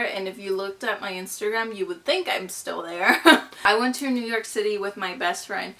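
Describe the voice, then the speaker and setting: A woman's voice: medium pitch, bright, forceful tone. A woman in her twenties from Mexico, chatting casually and gushing in a vlog, full of "like" and "you know". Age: 20s. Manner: bubbly and gushing, lots of fillers like "you know" and "like". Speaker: vlogger.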